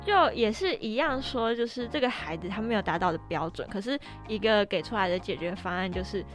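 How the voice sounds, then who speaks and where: Medium-pitched woman chatting over soft music. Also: animated, fairly quick. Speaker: podcast co-host. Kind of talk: casual discussion.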